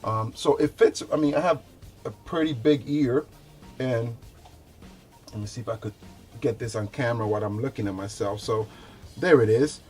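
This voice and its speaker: A man's voice: medium pitch, moderate pace, pauses often, darkish tone, soft background music. A man hosting a casual product review, talking conversationally to viewers and repeating himself loosely as he demonstrates items. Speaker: product reviewer, show host.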